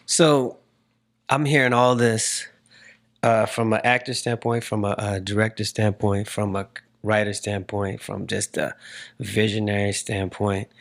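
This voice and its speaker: A man's voice: on the low side, fairly even intonation, unhurried, vocal fry. A man in his forties hosting a casual interview, circling toward his point with repeated phrases. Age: 40s. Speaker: podcast host interviewing a guest.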